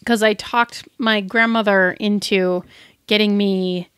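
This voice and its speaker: A woman in her thirties chatting casually as a podcast co-host, giggly and animated in her storytelling. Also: mid-range voice, slow pace, smooth, dry recording. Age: 30s. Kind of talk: casual chat.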